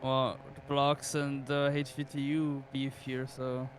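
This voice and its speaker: Man, casual game chat, monotone, offhand, swears, faint noise. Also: mid-range voice, takes it slowly. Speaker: fellow player.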